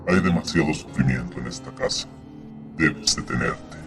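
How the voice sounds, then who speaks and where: A man voicing a grim avenging ghost in a horror audio story, speaking slowly and solemnly with menace. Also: very deep voice, pitched below the performer's own voice. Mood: solemn warning. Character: grim avenging male ghost, the spectre of the port. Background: loud music.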